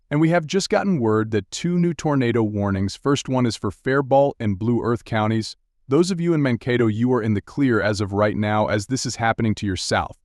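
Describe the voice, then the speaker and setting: A man acting as a steady TV weather broadcaster with a fairly low voice, recorded dry and close. He speaks straight to viewers in live severe-weather coverage, giving direct, urgent instructions. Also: no echo, natural voice. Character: steady, urgent male TV meteorologist guiding viewers through storms.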